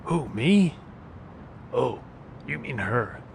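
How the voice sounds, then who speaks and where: A man voicing a wry, taunting street bum and vigilante sidekick in an animated series, mock-polite and sardonic. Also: very slow, exaggerated voice. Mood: gleeful taunting. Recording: clear low rumbling background noise.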